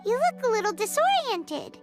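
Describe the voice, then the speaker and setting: A woman voicing a tiny, excitable, childlike fairy companion in a game, with a squeaky, chirpy delivery. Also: normal speed. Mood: puzzled, curious. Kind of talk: scripted game dialogue. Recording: loud music behind the voice.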